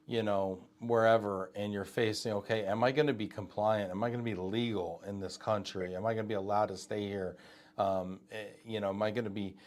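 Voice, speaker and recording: A man from Texas hosting a live interview, talking in quick conversational bursts with many asides and fillers. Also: lowish voice. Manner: talks fast with many asides and fillers, speaks to viewers directly. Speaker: host of a live show.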